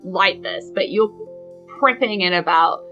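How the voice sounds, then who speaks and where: A woman's voice: on the low side, a little slow, bright, forceful tone, muffled recording, faint music behind. A woman with a New Zealand accent casually narrating a tabletop game as dungeon master.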